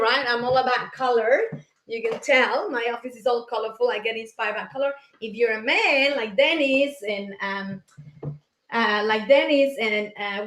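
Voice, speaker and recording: A woman's voice: fairly high pitch, fairly bright tone. Woman, forties, Mexican accent, upbeat and chatty, livestream coach, roomy echo. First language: Spanish. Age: 40s. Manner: enthusiastic, chatty, greets viewers in the comments. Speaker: life coach hosting a live session. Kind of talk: motivational livestream talk. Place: colorful home office. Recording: echoey room.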